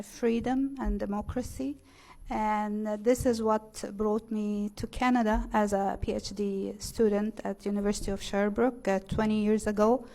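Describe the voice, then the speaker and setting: A middle-aged woman with an Egyptian accent gives testimony at a hearing. Her voice is fairly high, and she speaks hesitantly, with halting phrases. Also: a little mellow. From Cairo, Egypt. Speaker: witness at a hearing.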